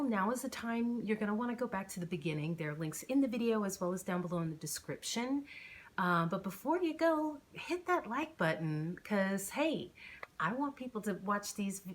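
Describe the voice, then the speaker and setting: A woman's voice: medium pitch, a little hiss or hum. A woman hosting her book channel as an author, chatting in an informal, enthusiastic way. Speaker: author reading own novel, channel host. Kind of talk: casual chatty channel intro.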